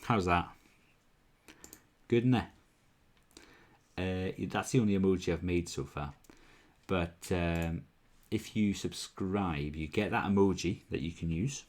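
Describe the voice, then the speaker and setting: Deep-voiced Welshman chatting on a stream. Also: a little slow, long pauses, a little mellow. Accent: Welsh, mixed with Scottish and northern English. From Wales. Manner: chatty and upbeat, many ums and restarts. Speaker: live-stream whisky tasting host. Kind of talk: casual live-stream chat reading out viewer comments.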